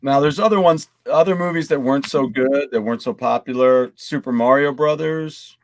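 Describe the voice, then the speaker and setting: A man's voice: mid-range voice, moderate pace, slight background noise. A man co-hosting a casual gaming panel chat, talking in a rambling, conversational way. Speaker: co-host of a gaming live stream.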